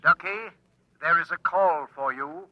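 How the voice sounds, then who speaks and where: A man voicing a helpful household computer that speaks in an even, announcer-like way, in a 1950s radio drama. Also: on the high side, measured pace, highs cut off. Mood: prim.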